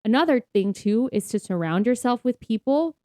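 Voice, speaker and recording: Woman chatting on a faith podcast, soft mellow tone, earnest, really dry close sound. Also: on the high side, expressive, moderate pace, no echo. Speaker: podcast co-host. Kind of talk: casual faith-based podcast chat.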